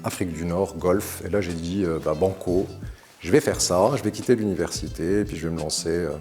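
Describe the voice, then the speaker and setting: Deep-voiced Moroccan-raised man, measured. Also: a little mellow, faint music behind. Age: 40s. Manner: measured, articulate, frequent hesitations. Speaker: interview guest. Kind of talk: recounting his career to camera.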